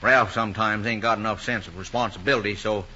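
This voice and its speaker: An actor playing a good-natured small-town sheriff with a husky voice and a Southern American accent, in old-time radio drama style. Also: male voice, on the low side, fairly even intonation, fast, sharp tone, slight background noise. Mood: businesslike, kindly. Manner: folksy, easygoing. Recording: muffled old radio recording.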